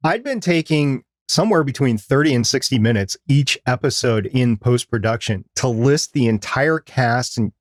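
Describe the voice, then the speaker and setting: A man's voice: medium pitch, expressive, moderate pace, hardly any pauses, no room sound, clean studio sound. A man co-hosting a podcast, explaining things in an informal, conversational way. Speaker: podcast co-host.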